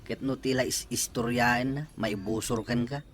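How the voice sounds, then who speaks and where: A man's voice: medium pitch, steady tone, moderate pace, very noisy, lightly acted. A male actor playing a stubborn, aggrieved old village husband in a radio drama, grumbling with an Ilocano accent. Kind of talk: Filipino radio drama acting.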